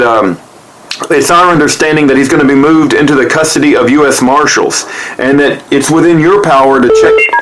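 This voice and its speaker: Man, slightly high-pitched voice, earnest appeal, polite, pleading. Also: fairly bright tone, rough recording. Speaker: activist making and sharing a phone appeal. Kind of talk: earnest spoken appeal and phone message.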